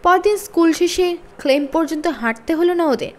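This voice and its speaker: A woman narrates a story for children. Her voice sits high and moves with lively swings in pitch. The reading is expressive, in the manner of a storyteller. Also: moderate pace.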